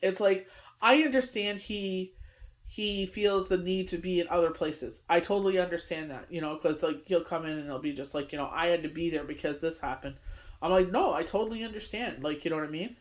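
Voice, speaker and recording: A woman's voice: lowish voice, fairly quick. A middle-aged woman from Canada giving a casual daily tarot reading, rambling and constantly saying "you know what I mean". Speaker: tarot reader.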